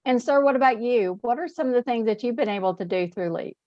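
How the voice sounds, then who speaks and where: A woman's voice: on the high side, quick pace, a little mellow, no room sound. Woman host on dull, dry audio. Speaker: interview host. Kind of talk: scripted hosting.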